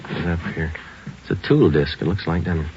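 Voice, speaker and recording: A man's voice: low voice, steady tone, a little fast, noisy background, natural voice. An actor playing a terse, matter-of-fact police detective sergeant narrating his case in 1940s radio style. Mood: businesslike, deadpan. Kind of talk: 1940s radio acting, clipped scripted narration. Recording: dull old radio recording, loud music.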